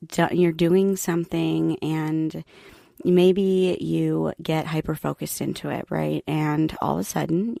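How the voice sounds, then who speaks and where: Middle-aged woman host, low gravelly monotone, calm and even, dry close mic. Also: no room sound. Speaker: podcast host. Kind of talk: solo podcast talk. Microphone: home podcast mic.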